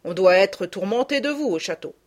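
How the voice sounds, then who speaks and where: A woman's voice: medium pitch, lively intonation, normal speed, a little mellow, natural voice. A woman voicing a good-natured country butcher with homely, folksy speech in an audiobook. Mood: kindly. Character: good-natured country butcher, grown man, gruff and kind. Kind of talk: audiobook character voice.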